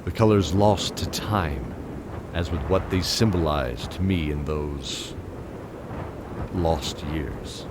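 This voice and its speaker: An actor playing a weary, guilt-ridden knight captain, narrating and speaking in a scripted fantasy audio drama. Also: male voice, extremely low pitch, takes it slowly, noisy background. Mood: solemn, reflective. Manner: solemn, descriptive, dramatic delivery.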